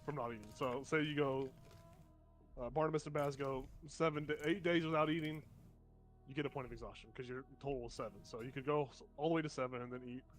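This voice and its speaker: A man's voice: medium pitch, steady tone, quick pace, soft background music. A young man running a tabletop game as game master, sniffling from a cold and explaining rules step by step with plenty of fillers.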